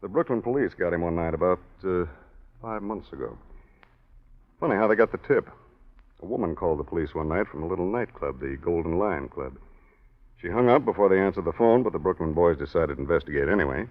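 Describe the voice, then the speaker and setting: A man's voice: low voice, expressive, a little fast. An actor playing a laconic, kindly American private investigator in a 1940s radio drama, in an understated, casual tough-guy manner. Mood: matter-of-fact. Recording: dull 1940s radio recording.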